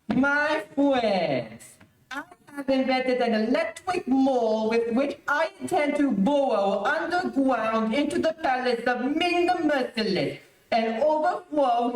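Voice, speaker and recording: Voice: high voice, a little hiss or hum, full character voice. An actor playing an imperious, stern rebel prince in an old radio serial, declaiming commands. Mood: grand, commanding. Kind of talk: 1930s radio serial acting. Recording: echoey room, distant microphone.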